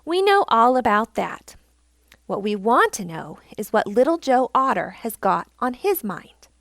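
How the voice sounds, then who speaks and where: A woman voicing a testy old male frog in a storybook, gruff and impatient. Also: a little high, sing-song, measured pace, a bit bright, dry recording, clean studio sound, heavily acted.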